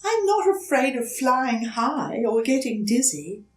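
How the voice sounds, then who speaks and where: A little storybook turtle boy acted by a woman, timid and polite, with a high, swooping, pleading voice. He sounds earnest and hesitant, heard from a little way off the mic. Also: big pitch swings, a little slow. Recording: roomy echo, distant mic.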